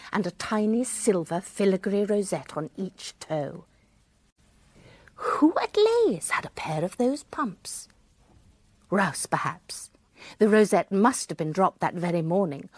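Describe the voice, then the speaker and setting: An actress playing an observant, self-deprecating woman who narrates a radio drama in a reflective, expressive way. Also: fairly low pitch, no room sound, exaggerated voice. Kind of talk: first-person radio drama narration and dialogue.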